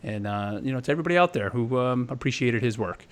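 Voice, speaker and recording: A man's voice: mid-range voice, lively intonation, quick pace. A man hosting a live gaming podcast, talking in an upbeat, chatty way. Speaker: podcast host.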